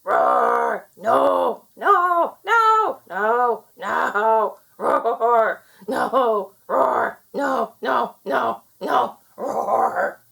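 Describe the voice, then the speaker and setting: Woman acting a little red dinosaur, rowdy, boastful, shouted roars, high-pitched, gravelly, children's storybook character. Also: sing-song, drawn-out words, hardly any pauses, full character voice. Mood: defiant. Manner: shouty, exclamatory roaring.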